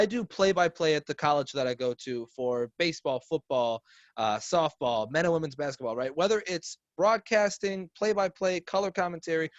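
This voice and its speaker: A man's voice: on the high side, no room sound, muffled recording. A man in his twenties, a podcast guest, talking earnestly in a rambling, casual way with lots of fillers. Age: 20s. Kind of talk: casual chat.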